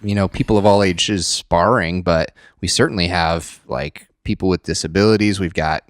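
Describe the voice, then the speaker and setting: A man's voice: low voice, fairly even intonation, normal speed, no room sound, studio quality. A man chatting casually and agreeably as a podcast co-host, in an informal way. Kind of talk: casual chat.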